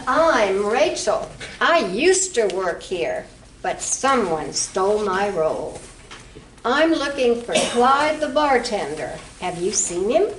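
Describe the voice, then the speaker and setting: A woman's voice: medium pitch, big pitch swings, slow pace. Woman playing an aggrieved, swooping ex-barmaid. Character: aggrieved woman, former bar worker. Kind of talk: amateur old-time radio play. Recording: roomy, distant mic, faint noise.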